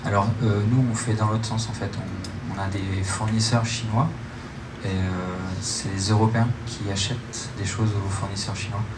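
A young man giving informal, halting answers as a startup presenter on stage at a meetup, speaking into a handheld microphone. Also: fairly low pitch, level tone. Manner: hesitant, halting phrasing. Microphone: handheld microphone, recorded by camera in room. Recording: echoey hall, loud room noise.

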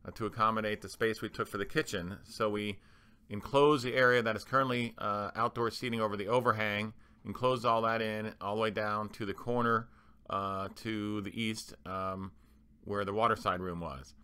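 Male manager for presentation voice-over: bright, forceful, smooth tone, explanatory and somewhat halting. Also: fairly low pitch, steady tone. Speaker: club manager presenting renovation plans.

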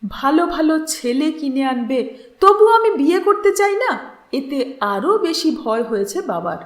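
A woman's voice: sits high, big pitch swings, a little slow, echoey room. A woman voicing a bitter, distressed young woman, emotional, scornful and pleading, in a story reading.

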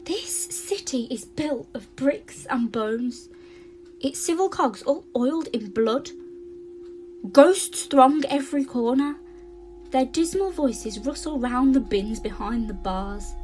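A woman's voice: sits high, animated, takes it slowly, a little mellow, soft background music, low-quality recording. A woman playing a grim, brooding narrator, reading scripted gothic narration in an audio drama.

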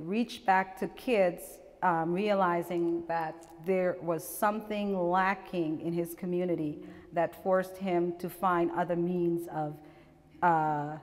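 A middle-aged woman from Guyana giving a relaxed, chatty talk about her portraits, laughing often and restarting her sentences. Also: lowish voice. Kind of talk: gallery artist talk. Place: small gallery room with seated audience, indoors. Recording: long reverb from the room.

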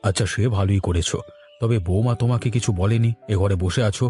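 Man acting a kindly, low-voiced older father. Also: fairly even intonation, fast, warm tone, soft background music, natural voice. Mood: calm concern. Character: kindly older father, concerned family elder. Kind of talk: Bengali family cartoon acting.

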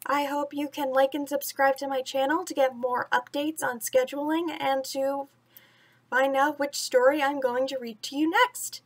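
A young woman with a high voice talks casually to camera as the host of a bedtime story series. She is recorded indoors in a small room at home, a little way from the mic. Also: fairly even intonation, moderate pace.

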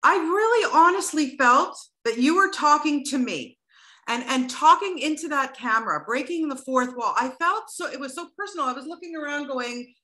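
A woman's voice: high voice, animated, normal speed, bright, forceful tone. A middle-aged woman interviewing guests over a video call from her living room, effusive and chatty, with many restarts.

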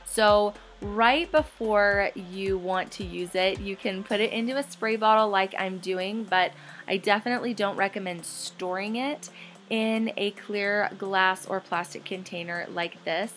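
Woman, thirties, bubbly home cleaning vlog voice-over, soft music behind. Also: medium pitch, steady tone, measured pace. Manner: bubbly, chatty, with light laughs. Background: soft background music.

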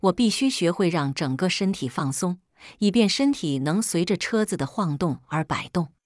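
A woman's voice: lowish voice, lively intonation, moderate pace, no room sound, clean studio sound. A woman narrating a first-person story from a script as an audiobook reader.

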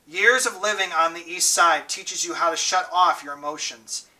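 A man reading aloud and voicing a gentle, scared sixteen-year-old boy who is badly hurt and speaks weakly, haltingly. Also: on the high side, recorded from a distance, poor audio. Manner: weak, quiet and halting.

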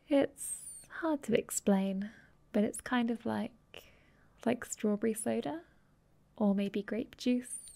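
A woman playing a dominant, affectionate vampire girlfriend in an intimate ASMR roleplay, speaking softly and tenderly, often in a breathy whisper, close to the mic. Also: mid-range voice. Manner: slow, tender, teasing. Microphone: close microphone.

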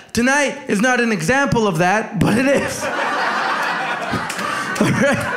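A young man from El Salvador doing a brash, crowd-working stand-up set on a club stage, holding a handheld mic. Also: extremely high pitch. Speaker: stand-up comic. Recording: echoey club with crowd noise.

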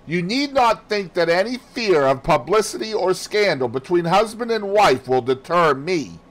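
An imperious, wronged mistress of a grand house, played by a man reading an audiobook. The pitch is pushed far up and swings animatedly, and the delivery is sharp and commanding. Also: big pitch swings, normal speed. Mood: outraged. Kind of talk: audiobook character voice.

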